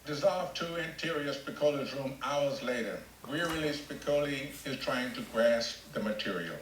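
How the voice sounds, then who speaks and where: A man's voice: medium pitch, normal speed, roomy sound, a little hiss or hum, poor audio. A man reading stage directions as scripted screenplay narration at a table read.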